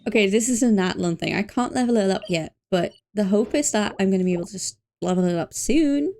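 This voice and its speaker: A woman livestreaming a game, chatting casually and playfully with expressive exclamations and silly filler words, game music behind her. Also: medium pitch, fairly quick. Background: faint video game music.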